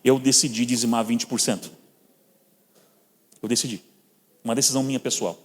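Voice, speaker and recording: A man's voice: medium pitch, steady tone, moderate pace. A man with a Brazilian Portuguese accent preaching a sermon, telling personal stories and calling the listeners brothers. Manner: storytelling, addresses listeners as brothers. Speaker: guest preacher. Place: church hall. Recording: long reverb of a church hall.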